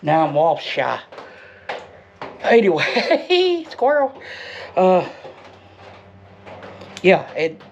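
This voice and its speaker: Folksy American man, gravelly and higher-pitched. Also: wildly swooping pitch, extremely slow, pauses often, lo-fi sound. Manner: folksy, rambling, muttering to himself. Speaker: DIY motorcycle vlogger. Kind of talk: casual unscripted how-to vlog chat. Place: shed workshop. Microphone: action camera. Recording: noisy action-camera audio with clicks.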